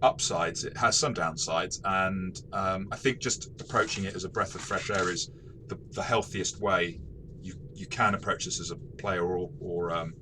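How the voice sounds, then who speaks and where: A man's voice: sits low, steady tone, moderate pace. A man talking through a tabletop game review as a game master, in a casual, reflective, explanatory way. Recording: faint background noise.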